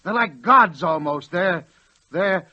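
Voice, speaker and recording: A man's voice: high-pitched, animated, slow pace, heavily acted. An actor playing a meek, dreamy druggist in his late forties, hesitant and stammering, in 1940s radio style. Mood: fervent, excited. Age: late 40s. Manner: hesitant, stammering, turning impassioned. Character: meek, dreamy middle-aged druggist obsessed with trains. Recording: dull old 1940s radio recording.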